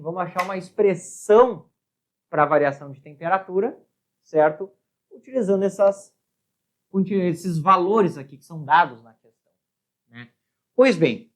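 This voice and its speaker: A woman with a Brazilian accent explaining a worked physics exercise step by step as a teacher, often adding short check questions. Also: mid-range voice. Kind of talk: step-by-step lesson at a board.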